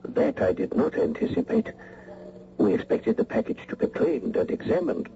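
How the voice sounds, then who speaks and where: An actor playing a suspicious, commanding spymaster in a radio drama, speaking in a formal, measured, guarded way. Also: male voice, on the high side, wildly swooping pitch, creaky, full character voice. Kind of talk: 1980 radio drama acting. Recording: muffled old radio recording, faint noise.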